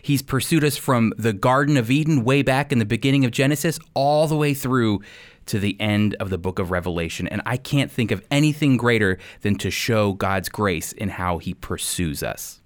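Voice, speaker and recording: A man's voice: medium pitch, expressive, moderate pace, dry recording. A man hosting a radio ministry program, speaking in a polished, explanatory style. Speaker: radio program host and Bible teacher. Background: background music.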